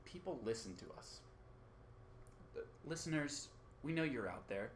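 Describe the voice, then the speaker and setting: A man's voice: mid-range voice, expressive, moderate pace, a little hiss or hum, natural voice. A man acting as an earnest, conciliatory radio co-host in an amateur radio play, placating in manner. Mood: earnest pleading.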